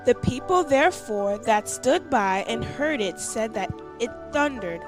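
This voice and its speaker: A woman with a high voice reads a scripted devotional aloud as its narrator. Soft music accompanies the reading. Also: animated, a little slow. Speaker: devotional reader.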